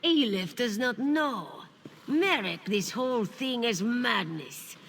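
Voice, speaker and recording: Shrewd feline fighter, high-set voice, suspicious and deliberate, fantasy game, in long reverb. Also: female voice, lively intonation, creaky, fairly bright tone, full character voice. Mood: alarmed, exasperated. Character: shrewd female cat-folk warrior, speaks in third person. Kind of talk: fantasy video game acting. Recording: long reverb, faint background noise.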